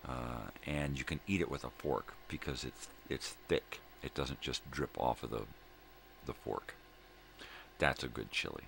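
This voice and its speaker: A middle-aged man with a gravelly voice, rambling casually and folksily as he hosts a morning vlog, often repeating himself. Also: a bit bright. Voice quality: gravelly, very deep. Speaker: host of a daily vlog. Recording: clear background room noise.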